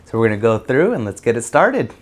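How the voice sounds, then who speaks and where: Guy reading a kids storybook out loud, medium pitch, guest reader. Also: sing-song, fast. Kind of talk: children's storybook narration.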